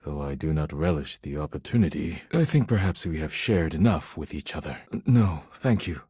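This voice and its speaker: An actor playing a formal, earnest and proud poetry lover in a video game, speaking in a measured, solemn way. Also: male voice, rumbling low, animated, dark tone, breathy edge, no echo, clean studio sound, full character voice. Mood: stern, disappointed. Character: formal, earnest, proud male poetry-loving dish character. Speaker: voice actor in a dating simulator game. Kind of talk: scripted video game character dialogue.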